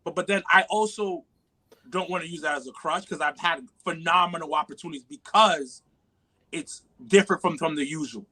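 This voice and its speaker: A loud, animated man from New York with a New York accent, his voice high and bright. He talks casually and profanely as a podcast guest, laughing at his own takes. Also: a little fast, poor audio. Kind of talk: casual chat.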